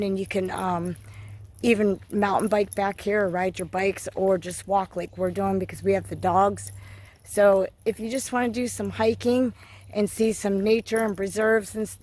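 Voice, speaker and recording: A hoarse-voiced woman talks through a casual hiking vlog, walking her dogs outdoors along a wooded trail. She speaks into a handheld phone in a middle-pitched voice, rambling along with frequent ums. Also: fairly even intonation, normal speed, a little mellow. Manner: chatty, lots of ums, talking while walking dogs. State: hoarse from a cold. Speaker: hiking vlogger.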